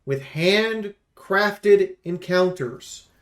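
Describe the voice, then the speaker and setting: A man with a fairly high voice talks through a game preview. His delivery is casual, like a streamer reviewing for viewers, and he is blunt and emphatic with his opinions. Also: lively intonation, very slow, a bit bright.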